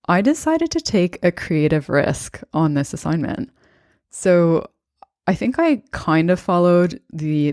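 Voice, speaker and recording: A low-pitched woman in her thirties speaks in a calm, thoughtful, conversational way, telling a personal story on her podcast. The sound is close and dry, with no room around it. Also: no room sound. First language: bilingual English and Estonian. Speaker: podcast host and coach.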